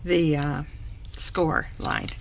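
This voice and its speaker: A woman recovering from a cough, slightly hoarse, chatting through a papercraft tutorial as she works and correcting herself along the way. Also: deep voice, huge pitch swings, drawn-out words, background noise. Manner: chatty, self-correcting, talks while working. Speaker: papercraft demonstrator. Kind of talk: live craft tutorial.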